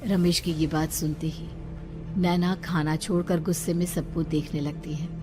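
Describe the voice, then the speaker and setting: A woman's voice: deep voice, little pitch movement, moderate pace. A woman narrating a scripted story in a dramatic storytelling style. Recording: music behind the voice.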